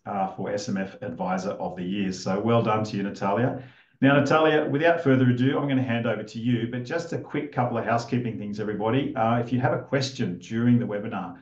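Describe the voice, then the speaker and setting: A man's voice: on the low side, a little mellow. Upbeat man hosting a webinar. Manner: upbeat, chatty introducer. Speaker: webinar host. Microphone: video call. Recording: roomy, dull video call audio.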